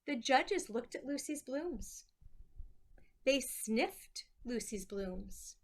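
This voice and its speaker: A woman with a slightly high voice reads a children's story aloud. She takes her time, pausing between short phrases and giving the words expression. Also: animated. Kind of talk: slow, expressive picture-book read-aloud.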